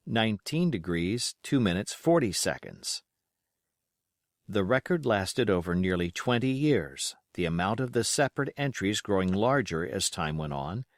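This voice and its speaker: A man reading a novel as a scripted audiobook narration, measured and carefully phrased. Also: on the low side, no echo, studio quality.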